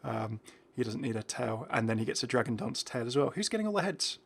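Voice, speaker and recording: A man's voice: medium pitch, very animated, fast, gravelly, slightly rough. A man hosting a gaming video with casual, unscripted commentary, chatty and musing aloud, often breaking off mid-thought.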